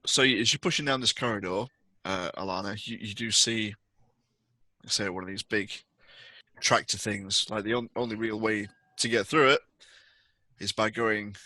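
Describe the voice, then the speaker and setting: A man's voice: on the low side, steady tone, normal speed, sharp tone, no room sound. A man improvising narration as game master of a tabletop roleplaying game.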